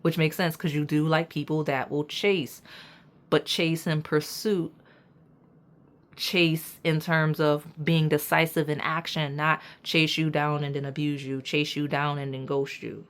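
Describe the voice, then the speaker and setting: Raspy low-voiced woman reading tarot. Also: little pitch movement, unhurried. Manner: emphatic, preachy, repeats "okay?" and "right?"; casual slang, addresses viewers as y'all. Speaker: tarot reader. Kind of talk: pick-a-card tarot reading, direct to viewers.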